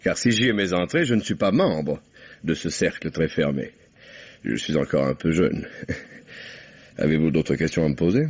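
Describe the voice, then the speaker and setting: An actor playing a suave, sly English gentleman in a French radio mystery, speaking with hesitant, drawling, ironic politeness. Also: a bass voice, expressive, normal speed, pauses often, husky, exaggerated voice. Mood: wry, unruffled. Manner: urbane, hesitant, drawling politeness with wry irony. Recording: dull, muffled old radio recording.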